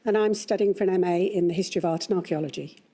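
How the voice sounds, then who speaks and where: Fast-talking husky young woman. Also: fairly low pitch, warm tone. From West Yorkshire, England. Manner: quick, clipped answers. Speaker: quiz contestant. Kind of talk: answering in a quiz.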